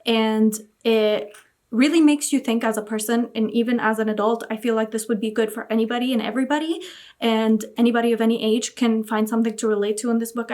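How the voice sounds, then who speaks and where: A young woman from Iraq chatting casually about books she read, gushing and repeating words like "really" and "very". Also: fairly high pitch. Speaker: book vlogger. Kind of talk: casual book review chat.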